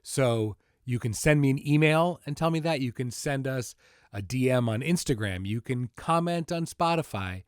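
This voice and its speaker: A man's voice: medium pitch, expressive, normal speed, clean tone, no room sound. A man hosting a podcast and talking casually as a series creator, informal, with dry joking asides. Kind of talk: casual podcast introduction and conversation.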